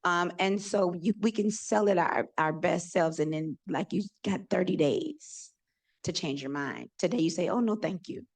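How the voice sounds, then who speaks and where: A woman with a loud voice asking a panel a long, earnest question full of asides. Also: lowish voice, normal speed. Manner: earnest, rambling question with many "right?" asides. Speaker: audience member asking a question.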